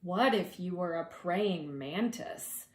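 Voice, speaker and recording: A woman reading aloud to preschoolers as their teacher, expressive and gentle, drawing out words and adding conversational asides. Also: on the low side, reverberant, a little hiss or hum. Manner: gentle, deliberate, drawing out words for young listeners.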